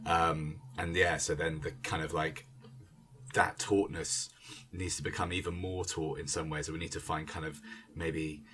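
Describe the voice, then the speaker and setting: Very deep-voiced man, rambling. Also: little pitch movement, moderate pace, a bit bright. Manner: rambling, self-interrupting, many "you know" asides. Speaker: theatre director. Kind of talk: casual conversational interview talk.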